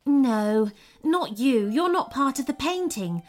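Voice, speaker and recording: A woman voicing a friendly, curious female storybook unicorn, gentle and encouraging with a sense of wonder. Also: high-pitched, animated, slow, pitched above the performer's own voice. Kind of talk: storybook character voice.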